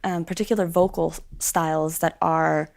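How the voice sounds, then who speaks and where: Young woman, american accent, low-pitched, gravelly, chatty interview guest, lots of fillers. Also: normal speed. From Sweden. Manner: animated, chatty, many fillers and restarts. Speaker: guest musician. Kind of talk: casual interview chat.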